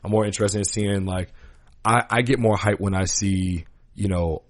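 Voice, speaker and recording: A man's voice: fairly low pitch, steady tone, moderate pace, no room sound, clean studio sound. A man hosting a podcast, talking casually and earnestly in slangy language, giving his opinions and repeating points for emphasis. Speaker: podcast host. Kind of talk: casual conversational podcast talk.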